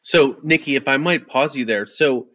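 A man's voice: mid-range voice, moderate pace, fairly bright tone. A man hosting a medical podcast, interviewing guests in a conversational way. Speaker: podcast host, medical journal editor.

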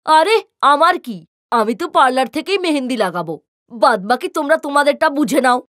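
A woman's voice: high voice, animated, a little fast, a bit bright, no echo, clean studio sound. A woman voicing a haughty, dismissive well-off young woman in a cartoon story.

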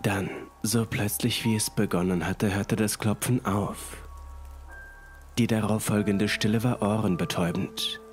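A man narrating a scripted first-person horror story in a deliberate, suspenseful manner. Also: fairly low pitch. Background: music and rain.

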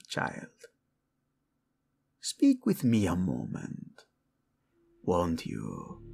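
A man playing a cold, scheming middle-aged royal father in an audio drama, slow, insinuating and theatrical, with falsely courteous mockery and a gravelly, husky voice. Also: fairly low pitch, heavily acted. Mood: smooth menace. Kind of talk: dramatic audio roleplay acting.

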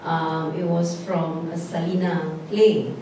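A woman's voice: low voice, flat delivery, slow pace, warm tone. A woman actor speaking on a post-show panel, giving earnest, frank advice. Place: theatre stage. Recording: echoey room, distant mic, noisy.

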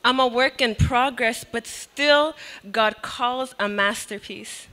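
Young woman poet, bright and slow-paced, reciting her verse into a stage mic. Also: a little high. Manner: expressive, laughs between lines. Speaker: spoken word poet. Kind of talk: spoken word poetry performance. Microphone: handheld mic on a stand.

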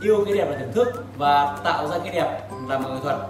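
A man's voice: on the high side, fast, recorded from a distance. A man in his thirties hosting a spa clinic promo, interviewing a customer in an upbeat, salesy way with lots of tag questions. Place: decorated spa studio set, indoors. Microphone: lapel mic. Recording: echoey room, off-mic, music behind.

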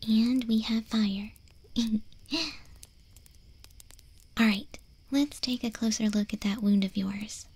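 Woman as a breathy, whispery wolf girl. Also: fairly high pitch, fairly even intonation. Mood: gentle. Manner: soft, breathy half-whisper, gentle and unhurried. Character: caring, teasing young wolf girl monster. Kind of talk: ASMR roleplay. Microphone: close, dry studio microphone.

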